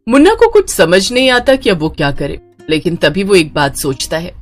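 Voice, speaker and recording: A woman's voice: on the low side, wildly swooping pitch, moderate pace, soft background music. A woman storyteller giving dramatic scripted narration of a horror tale.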